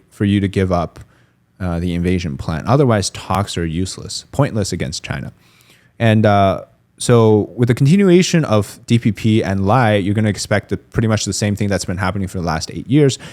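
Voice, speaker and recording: A man's voice: fairly low pitch, darkish tone. A man as an interview guest on a podcast, explaining politics in a thoughtful, analytical way with many fillers and restarts. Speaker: guest commentator.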